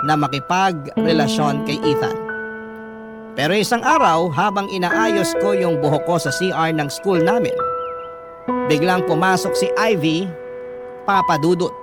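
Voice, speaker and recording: A man with a Filipino accent, a radio host reading a listener's story as dramatic first-person narration. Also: high-pitched. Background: loud instrumental music.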